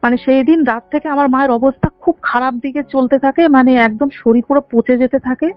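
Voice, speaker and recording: High-voiced young woman on the phone. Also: fairly even intonation, quick pace, no room sound. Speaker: caller telling their own story. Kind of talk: personal storytelling on a call. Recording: dull band-limited phone call, faint music. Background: faint background music.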